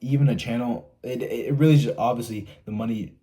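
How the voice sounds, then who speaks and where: Blunt, husky-voiced young man. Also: medium pitch, steady tone, a little fast, dark tone. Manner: blunt, profane, repeats "right?" often. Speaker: YouTuber giving self-help advice. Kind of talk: casual motivational monologue.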